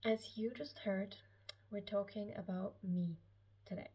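A woman's voice: mid-range voice, fairly even intonation, a little slow, warm tone, husky. A seventeen-year-old woman from Austria, a German speaker, chatting casually to camera in a hesitant, rambling, self-conscious way. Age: 17, late teens. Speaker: YouTube vlogger. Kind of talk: casual chat to camera, personal Q&A. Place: small lived-in room at home, indoors.

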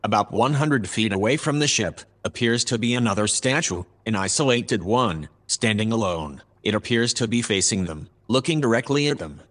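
An actor playing a composed analyst who reports events in a measured, formal way in a sci-fi audio drama. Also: male voice, on the low side, animated, a bit bright. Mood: composed, observant. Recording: clear background noise and ambience.

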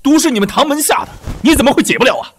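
An actor playing a proud, emotional prince regent of imperial China in an audio drama, dramatic and impassioned. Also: male voice, very high-pitched, lively intonation, very fast, fairly bright tone, slight background noise. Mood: urgent, pleading. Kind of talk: Chinese historical audio drama acting. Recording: background music under the voice.

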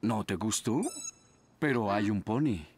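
A man voicing a gentle, cautious father in a cartoon dub, soothing and hesitant. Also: fairly low pitch, expressive, a little slow, slightly rough, faint music behind. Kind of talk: animated series dubbing.

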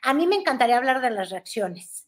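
A Mexican woman with a high, bright-sitting voice talks politics in a playful, teasing way. She is in her thirties and joins by video call from a room at home, gesturing as she speaks. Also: sing-song, a little fast. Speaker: guest commentator. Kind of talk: casual political chat.

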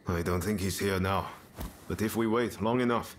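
Man acting an earnest low-voiced young samurai. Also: normal speed, a little hiss or hum, close to an everyday voice. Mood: alert. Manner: earnest, reflective delivery. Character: earnest, loyal young samurai, grateful and resolute. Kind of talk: video game cutscene acting.

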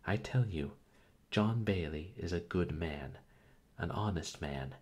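A man's voice: deep voice, animated, slow pace, darkish tone, exaggerated voice. A man reading an audiobook, voicing a passionate young woman who pleads and then breaks into sobs. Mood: indignant pleading.

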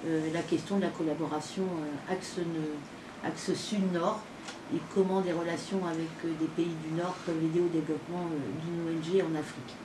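Middle-aged woman, association representative presenting informally; slightly low voice, heard off in an echoey room. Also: fairly even intonation, moderate pace. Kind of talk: informal spoken presentation at a partnership signing. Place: small indoor meeting room with a group standing behind. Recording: echoey, noisy room, distant microphone.

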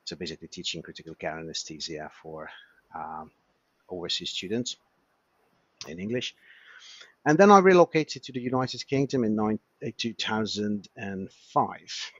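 Hungarian-accented male doctor, 50s, halting delivery, lo-fi sound. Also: on the low side, expressive, slightly rough, no echo. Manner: hesitant, many restarts and fillers. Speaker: emergency physician guest. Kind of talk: casual interview chat.

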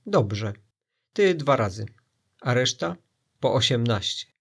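A man's voice: medium pitch, very animated, takes it slowly, vocal fry, slightly rough, heavily acted. A man voicing a demanding, theatrical fake genie who speaks with grand confidence and mock indignation in a storybook tale. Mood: indignant.

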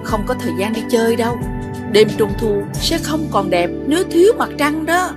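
A gentle, wise mother moon from a cartoon, played by a woman, patient and motherly. Her fairly high voice instructs kindly over clear background music. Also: animated, normal speed, close to an everyday voice. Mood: reassuring. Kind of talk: children's cartoon dubbing. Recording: music behind the voice.

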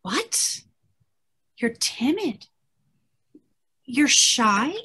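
A middle-aged woman playing a weary, distraught old scientist, the last human, in a halting theatrical radio-drama style. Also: on the high side, sing-song, bright, forceful tone, breathy edge, exaggerated voice. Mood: agitated probing. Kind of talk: 1930s radio drama acting. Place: indoors at home on a video call.

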